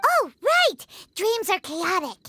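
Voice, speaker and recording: A woman voicing a tiny, excitable, childlike female fairy companion in a video game, squeaky and exclamatory. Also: slow pace, dry recording, heavily acted. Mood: excited. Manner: exclamatory, refers to herself in third person.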